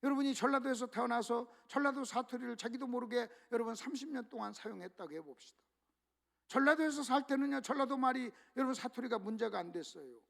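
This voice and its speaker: A man preaching a sermon to a church congregation, leading them in call-and-response reading and swinging between hushed asides and loud emphatic exclamations. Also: very high voice, fast, vocal fry. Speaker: pastor. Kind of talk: sermon at a revival meeting. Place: church sanctuary.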